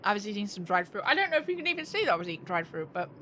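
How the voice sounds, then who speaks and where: A woman doing casual live game commentary, excitable and playful, reacting aloud and swearing freely. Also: mid-range voice, rapid-fire, a little hiss or hum. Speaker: game streamer. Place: indoor room, live gaming stream.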